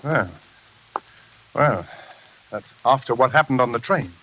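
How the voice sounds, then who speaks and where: An actor playing a polite, hesitant yet probing psychiatrist in a 1940s American radio drama. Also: male voice, mid-range voice, lively intonation, unhurried, pushed and bright, husky, heavily acted. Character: flustered but probing male psychiatrist. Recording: crackly, hissy vintage radio recording.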